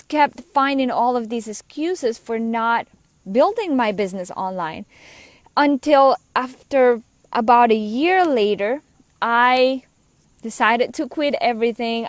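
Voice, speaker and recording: A woman from Colombia with a Colombian accent speaks in a fairly high voice, earnest and chatty as she coaches. The sound is dry and close, with no room around it. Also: no echo. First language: Spanish. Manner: earnest, conversational, quick asides. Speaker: business coach. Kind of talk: personal story monologue.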